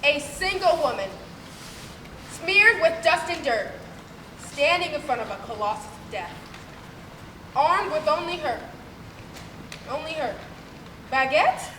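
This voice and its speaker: A woman's voice: sits high, animated, slow pace, pauses often, bright, forceful tone, exaggerated voice. A young woman acting an earnest, easily flustered news reporter in a comic radio play, dramatic and breathless. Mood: amazed, excited. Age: young adult. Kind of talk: comic old-time radio broadcast acting. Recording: distant, echoey stage, noisy room.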